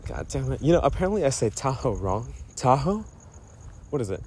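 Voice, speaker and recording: A man's voice: medium pitch, big pitch swings, fairly quick, a little mellow, a little hiss or hum. A man speaking casually to a friend in a personal voice memo, playful and self-mocking, often interrupting himself and drifting off his thread. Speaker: storyteller recording a personal voice memo. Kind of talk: rambling casual monologue addressed to a friend.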